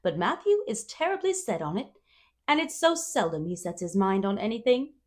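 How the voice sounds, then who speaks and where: A woman voicing a calm, matter-of-fact farm woman in a storybook, composed and plain-spoken. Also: medium pitch, normal speed, pitched above the performer's own voice, natural voice. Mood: calm, firm.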